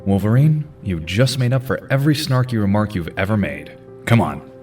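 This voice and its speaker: A man's voice: lowish voice, animated, moderate pace, darkish tone, recorded from a distance, faint music behind, lightly acted. A man playing an earnest, commanding superhero team leader in an audio drama, serious and authoritative.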